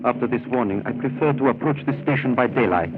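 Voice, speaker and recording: A man's voice: medium pitch, animated, fast talker, close to an everyday voice. Man playing cautious company manager, formal, evasive, 1930s radio drama, narrow tinny sound, music behind. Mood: wary.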